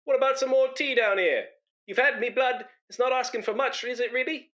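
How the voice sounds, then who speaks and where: A man's voice: very high-pitched, brisk, a bit bright, dull audio. A man playing a pompous, self-important, easily aggrieved middle-aged man in a radio comedy. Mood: plaintive. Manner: pompous, indignant, sententious. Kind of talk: British radio comedy acting.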